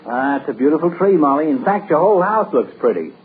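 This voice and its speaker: A man's voice: a little high, sing-song, quick pace. A lovestruck bachelor doctor chats jovially, his voice moving up and down with plenty of expression. The sound is tinny and thin, like a vintage radio show, with a faint hiss. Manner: smooth, jovial and dreamy. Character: genial smitten bachelor town doctor. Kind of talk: 1940s radio comedy acting. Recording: tinny lo-fi old radio broadcast.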